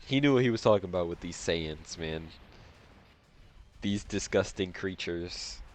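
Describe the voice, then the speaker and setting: A man's voice: low voice, expressive, measured pace, a little hiss or hum. A man with a stuffed-up, congested nose giving casual gameplay commentary, slangy and repeating phrases to himself. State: nasally congested, breathing through mouth. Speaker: gaming video host.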